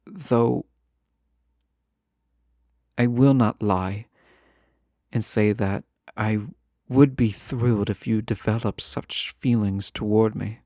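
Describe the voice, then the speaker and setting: Man acting slow, gravelly lovesick fantasy king. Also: lowish voice, steady tone, mellow, no echo, clean studio sound. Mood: earnest, hopeful. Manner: formal, deliberate, earnest speech with hesitant pauses. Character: lovesick fantasy ruler, earnest, proud, formal, yearning. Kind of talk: scripted romantic audio roleplay, close and intimate.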